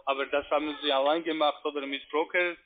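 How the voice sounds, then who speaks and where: A man with a foreign accent making a formal, halting phone sales call as a call-centre agent. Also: a little high, little pitch movement, narrow, tinny audio. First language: not German.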